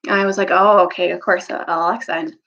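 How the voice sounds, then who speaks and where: A woman speaking as a teacher and storyteller in a casual, chatty way, halting and often restarting her phrases. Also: mid-range voice, little pitch movement, gravelly, pushed and bright. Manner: halting, informal, self-interrupting. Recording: dull lo-fi recording.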